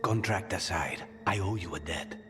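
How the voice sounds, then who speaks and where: An actor playing a weary, guarded assassin in a fantasy game, stoic and understated. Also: male voice, low-pitched, normal speed, over music, natural voice.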